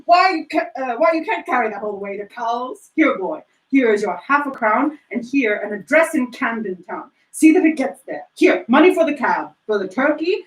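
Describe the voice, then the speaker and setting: A woman's voice: fairly high pitch, very animated, normal speed, hardly any pauses. A woman playing a reformed old-story miser, a man, in an effusive, exclamatory theatrical reading. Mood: excited, generous. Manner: exclamatory, effusive, breathless repetition. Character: reformed miser hero, giddy, frantic, overjoyed man. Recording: roomy, distant microphone.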